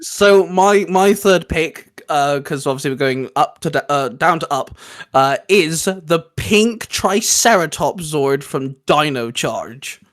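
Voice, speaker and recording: A man's voice: fairly high pitch, expressive, slow, fairly bright tone. A man chatting casually as co-host of a fan countdown, enthusiastic and opinionated, often tripping over his words. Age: adult, father of a young son. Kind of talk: casual geeky chat.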